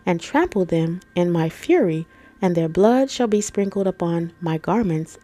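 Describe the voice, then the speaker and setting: Stuffy-nosed woman teaching scripture, mellow. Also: fairly low pitch. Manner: conversational, addresses viewers as family and guys. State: stuffy nose from allergies. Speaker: Bible teacher and channel host. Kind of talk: scripture reading and informal Bible teaching.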